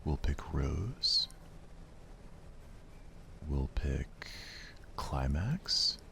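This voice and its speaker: A soft-spoken man doing ASMR let's play commentary on a game, murmuring to himself without hurry over some audible background noise. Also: rumbling low, lively intonation, drawn-out words, gravelly. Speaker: gaming streamer playing a visual novel.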